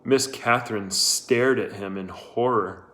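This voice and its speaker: A man reading a story aloud as a scripted narration, measured and deliberate. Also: lowish voice, a bit bright. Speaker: audiobook reader.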